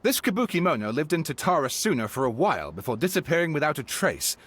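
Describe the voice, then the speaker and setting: A man's voice: fairly high pitch, very animated, quick pace, fairly bright tone, breathy edge, full character voice. An actor playing a theatrical, opinionated male writer in a video game, smug and eager, with a knowing, wordy manner. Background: music.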